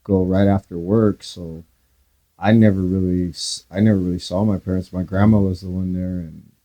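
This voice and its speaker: A man co-hosting a podcast, sharing a related memory in quick, relaxed bursts with restarts. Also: low-pitched. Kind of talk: casual conversation.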